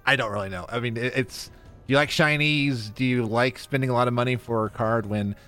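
A man's voice: medium pitch, fairly quick, a bit bright, smooth, faint music behind. Stammering man reading chat questions. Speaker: podcast co-host. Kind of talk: casual gaming chat.

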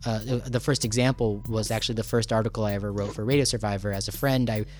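A man's voice: on the low side, fairly even intonation, quick pace. A man hosting a casual conversational interview, talking in a rambling, enthusiastic and self-deprecating way. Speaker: radio show host.

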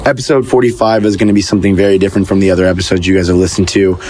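A man's voice: low voice, flat delivery, racing, a little mellow, slight background noise. A man hosting a radio show, introducing it casually and addressing his listeners directly. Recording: music playing behind the voice. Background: music.

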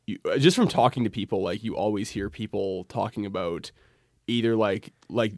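Low-voiced young Canadian man, dry sound. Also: moderate pace, darkish tone, no echo. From Alberta, Canada. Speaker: podcast guest. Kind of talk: casual chat.

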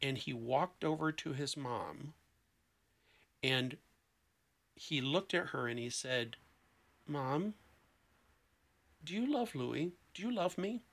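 Man, slightly high pitch, heavy creak, deliberate phrasing, conversational storytelling. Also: lively intonation, a bit bright. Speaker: podcast guest, therapist.

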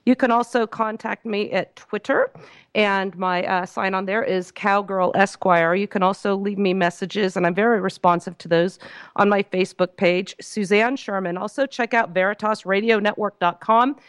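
A woman hosting a call-in radio talk show, slightly hoarse, chatty with frequent fillers while reading announcements. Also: mid-range voice, steady tone, normal speed, dry recording, clean studio sound. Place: radio studio. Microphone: studio microphone.

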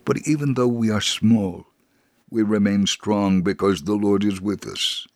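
A man's voice: lowish voice, steady tone, measured pace, no echo. A man playing a devout Holocaust survivor from Israel, telling his story earnestly in a dramatic memoir reading. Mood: earnest, solemn. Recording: very dry studio, faint music bed.

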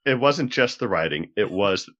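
A man talking casually as a podcast guest, halting and pausing mid-thought as he goes. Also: lowish voice, animated, a bit bright. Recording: dull lo-fi audio.

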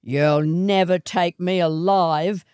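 A woman's voice: fairly low pitch, steady tone, takes it slowly, darkish tone, clean tone, dry recording, studio quality. A woman voicing a defiant, roguish male swagman with a brooding manner for a short story.

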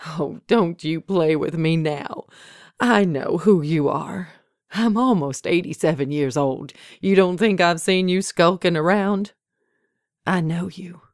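A woman's voice: on the low side, vocal fry, no room sound, studio quality. A woman voicing a feisty, demanding widow, in a told story. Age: 80s. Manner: folksy, demanding, scolding. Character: feisty, indignant old Southern woman, nearly eighty-seven.

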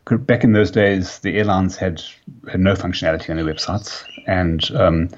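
A South African man in his fifties, a company founder giving a podcast interview, talking haltingly with many fillers and restarts. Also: sits low, slight background noise. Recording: dull lo-fi sound.

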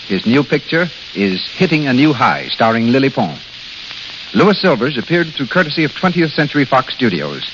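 A man announcing on 1930s radio, reading scripted credits in a formal way. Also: mid-range voice. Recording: hissy, crackly dull 1930s radio recording.

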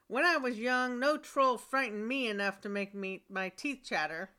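A woman voicing a boastful, scornful farmer father in a storybook reading, raising her pitch for him. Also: normal speed, bright, forceful tone, clean tone. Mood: scoffing.

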